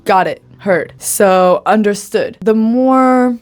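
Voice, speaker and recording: A woman's voice: mid-range voice, takes it slowly, a little mellow. Brief: upbeat woman host, casual lesson, easy mid-range voice, chatty with frequent laughs. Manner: drops in Hebrew phrases. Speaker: Hebrew slang teacher.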